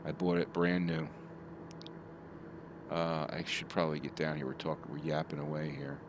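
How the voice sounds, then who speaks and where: Deep-voiced middle-aged man chatting casually. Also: level tone, moderate pace, muffled recording, noisy background. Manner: rambling, chatty with live chat. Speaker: streamer. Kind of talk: casual live-stream chat.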